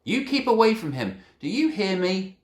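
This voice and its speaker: A man voicing an angry, protective mother giving a sharp warning. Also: high-pitched, big pitch swings, normal speed, voice pushed up. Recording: echoey room.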